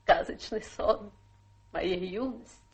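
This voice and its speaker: A woman's voice: medium pitch, slow, breathy edge. An actress playing a self-pitying adventuress who poses as a tragic fallen lady in a radio play, melodramatic, plaintive and coquettish. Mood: dreamy, coquettish. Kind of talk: theatrical radio play acting.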